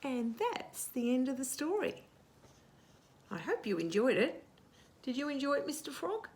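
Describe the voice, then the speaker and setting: A woman reading a picture book aloud to young children as a storytime host, expressive, with hushed asides and questions to the listener. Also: sits high. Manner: animated, expressive, asks questions and pauses for answers.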